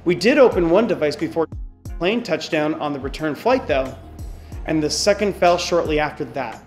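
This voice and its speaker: A man in his forties reading a scripted open letter to camera from a hardware lab, measured and earnest. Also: fairly high pitch, animated, faint music behind. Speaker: company spokesman.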